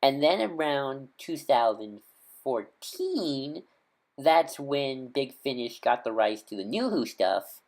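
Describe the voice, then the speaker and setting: A man's voice: medium pitch, sing-song, slow pace, a little mellow. A man talking casually as a video host, an enthusiastic fan who rambles with lots of ums and corrects himself mid-sentence.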